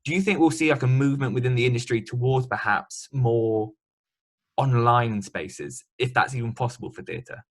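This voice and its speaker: A man's voice: medium pitch, normal speed. A man interviewing a guest in a remote chat, talking chattily and loosely with many ums and likes.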